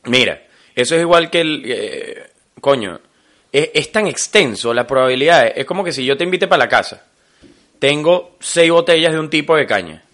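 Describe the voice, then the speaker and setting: Un hombre con acento venezolano, copresentador de un podcast, que conversa en tono informal, bromista y lleno de jerga. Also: male voice, fairly high pitch, normal speed. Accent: Venezuelan Spanish. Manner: joking, animated, full of slang. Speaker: podcast co-host. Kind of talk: casual chat.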